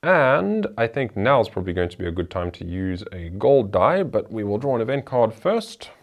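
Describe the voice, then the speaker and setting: A man's voice: lowish voice, big pitch swings, moderate pace, vocal fry, muffled recording. A man hosting a board game show, talking through the rules and each move of a solo playthrough in a chatty way.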